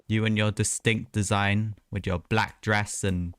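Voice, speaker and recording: Low-voiced man chatting over a game stream. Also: level tone, unhurried, smooth, dry recording. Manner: chatty, self-mocking, rambling asides. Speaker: livestreamer. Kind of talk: casual gameplay commentary. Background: game music.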